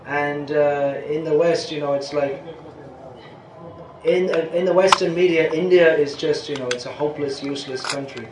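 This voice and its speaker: Older man, spiritual teacher, casual Q&A, rambling, slightly high voice, echoey room, faint hiss. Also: steady tone, normal speed. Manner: rambling, self-interrupting, chuckles at times. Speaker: spiritual teacher answering questions. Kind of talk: informal question-and-answer talk.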